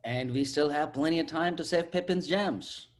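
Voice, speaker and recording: Javi, a laid-back, higher-voiced buddy played by a man. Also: moderate pace, lightly acted. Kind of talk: table read acting.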